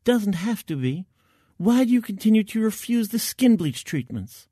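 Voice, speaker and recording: A man voicing a female military medic in an audio story, gentle, earnest and concerned as she probes. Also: sits high, very animated, normal speed, slightly rough, no echo, clean studio sound, pitched above the performer's own voice, exaggerated voice.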